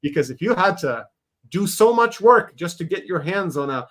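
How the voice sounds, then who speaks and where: A man's voice: high voice, expressive, moderate pace, a bit bright. A man, a Hebrew speaker, talking animatedly as a guest in a casual podcast interview, laughing often. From Israel. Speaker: guest artist.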